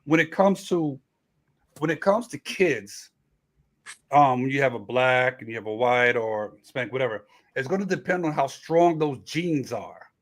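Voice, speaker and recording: A man's voice: medium pitch, lively intonation, normal speed. A man hosting a casual late-night panel discussion, explaining at length and restarting his sentences. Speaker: host.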